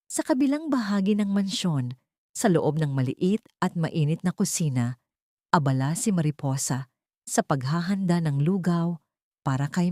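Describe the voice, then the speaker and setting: Female audiobook narrator, slightly low voice, dramatic scripted delivery, very dry recording. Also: warm tone, dry recording.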